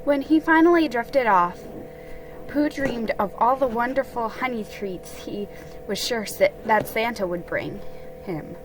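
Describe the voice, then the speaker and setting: A woman hosting a holiday read-aloud, mixing casual chat with dramatic storybook reading in a halting way that stumbles over words. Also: fairly high pitch, noisy background.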